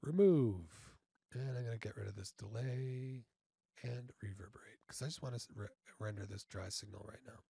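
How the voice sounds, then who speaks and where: A man informally instructing step by step in a software tutorial, halting and often checking in, on a close mic. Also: on the low side, gravelly, husky, dry recording. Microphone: close studio mic through audio interface.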